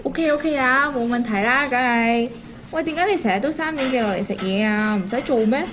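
An actress playing a chatty, teasing middle-aged noodle shop waitress in a radio drama. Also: female voice, on the high side, brisk, noisy background, natural voice. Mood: friendly, nosy. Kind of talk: Cantonese radio drama acting.

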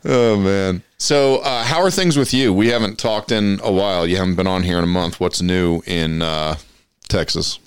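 Deep-voiced, congested male podcast host. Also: normal speed, clean tone, no room sound. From Western Colorado. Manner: rambling storyteller, chuckles often. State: congested from allergies. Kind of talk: casual chat.

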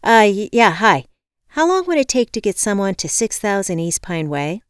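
An irritable, stressed-out woman, acted by an audiobook reader, snappy and exasperated. Her voice leaps up loudly above normal talk, with a dry, close sound. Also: medium pitch, sing-song, moderate pace, smooth, no echo, voice pushed up, natural voice. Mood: flustered. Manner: exasperated, snappy, loud outbursts.

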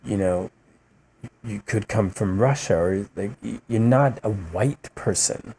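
A man's voice: fairly low pitch, husky. A man, a guest on a podcast, talking passionately in a rambling, casual way, often adding "man" and "you know" and acting out quotes as he tells stories. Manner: animated storytelling with voiced quotes. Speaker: podcast guest, musician and writer. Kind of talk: casual interview talk.

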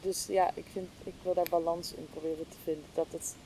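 A woman's voice: level tone, brisk, warm tone, rough recording. A woman chatting casually and reflectively, thinking aloud in a hesitant, searching way. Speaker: interview guest, podcast hostess. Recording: clear low rumbling background noise.

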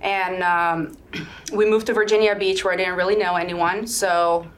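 A woman's voice: lowish voice, fairly even intonation, moderate pace. A woman in her thirties, born and raised in Sweden, talking frankly and upbeat as a podcast guest, laughing easily. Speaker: podcast guest, real estate broker. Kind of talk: casual interview chat.

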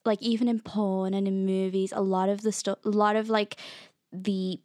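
A woman's voice: mid-range voice, flat delivery, moderate pace, a little mellow, dry recording, studio quality. A woman in her late teens from Liverpool, chatting informally as an interview guest, often dropping in "like". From Liverpool, England. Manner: informal, peppered with "like". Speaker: podcast guest, art student. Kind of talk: casual interview conversation.

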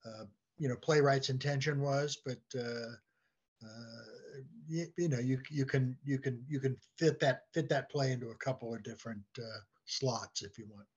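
A man speaking as a podcast guest in a halting, conversational way, often repeating words as he goes. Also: medium pitch, darkish tone, slightly rough, highs cut off. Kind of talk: conversational discussion.

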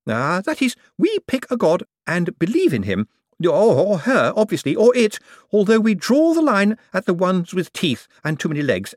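A man voicing a nervous, genteel middle-aged woman who stammers eagerly in a high, fluttery voice. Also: no echo, clean studio sound, heavily acted. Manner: fluttery, hesitant, high and breathless.

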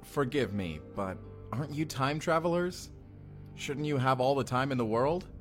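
A man's voice: mid-range voice, lively intonation, moderate pace, soft background music, close to an everyday voice. A man playing a bewildered, ordinary guy in a science fiction audio drama, casual and full of incredulous questions. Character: Benji, bewildered ordinary young man pulled through time.

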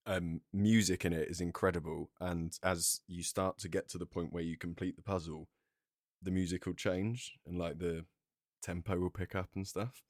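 A man with a deep voice co-hosts a podcast in casual conversation. The sound is dry and close, with no room around it. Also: level tone, normal speed, no echo. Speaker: podcast co-host. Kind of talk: casual chat.

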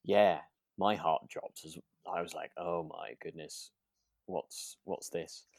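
A man's voice: low voice, steady tone, slow, gravelly, a little mellow, dry recording, studio quality. A man chatting casually as a podcast co-host, hesitant and often correcting himself. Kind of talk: relaxed casual chat about a radio drama.

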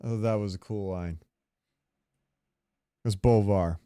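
A man's voice: on the low side, a little slow, a little hiss or hum. A man hosting a podcast in casual fan chat, talking freely and profanely. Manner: profane, animated retelling with quoted lines. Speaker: podcast host.

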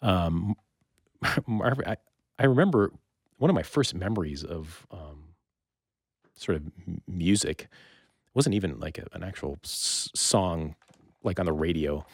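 A man's voice: low voice, animated, unhurried, pauses often, dry recording, studio quality. A middle-aged man with a North American accent hosting a podcast in a casual, conversational interview style. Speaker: podcast host.

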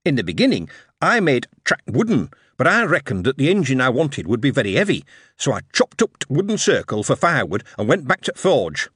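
A man's voice: medium pitch, wildly swooping pitch, a little fast, a bit bright, breathy edge, no echo, studio quality, pitched above the performer's own voice, heavily acted. A man voicing an eager young Yorkshire lad whose words tumble out as he explains his inventions.

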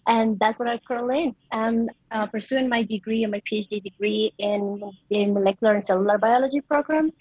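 A woman's voice: a little high, a little fast, few pauses, breathy edge, no echo, muffled recording. A young adult woman from Colombia, a native Spanish speaker with a Colombian accent, chatting casually as an interview guest. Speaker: interview guest, PhD student. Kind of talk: casual, conversational answers.

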